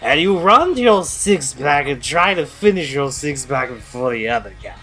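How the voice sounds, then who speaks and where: A man's voice: a little high, sing-song, normal speed, music in the background. A man playing a drunk, eager college partygoer in an audio drama, rambling and hesitant. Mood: proud, rambling. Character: really drunk, eager college-age male partygoer explaining games.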